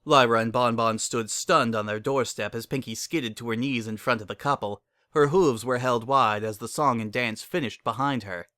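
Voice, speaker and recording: A man's voice: mid-range voice, normal speed, few pauses, pushed and bright, dry recording, clean studio sound. A man narrating a scripted dramatic reading of a story.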